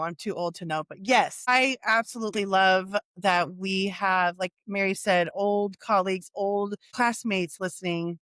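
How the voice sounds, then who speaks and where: A woman's voice: medium pitch, a bit bright, dry recording. A woman co-hosting a podcast, blunt and casual, who swears freely and laughs easily. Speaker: podcast co-host. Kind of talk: casual chat.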